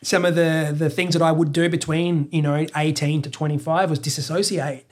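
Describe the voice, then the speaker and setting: A man's voice: fairly high pitch, fairly even intonation, moderate pace. A man in his thirties with an Australian accent, English not his first language, talking openly and reflectively as a podcast guest, often restarting his sentences. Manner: open, reflective, trails off in restarts. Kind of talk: casual chat.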